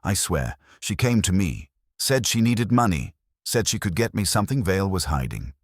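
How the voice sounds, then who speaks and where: A sharp, guarded woman chef answers in short, defensive lines, read by a man. The voice stays very low and husky, with a lively rise and fall. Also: moderate pace, no echo, studio quality. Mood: anxious.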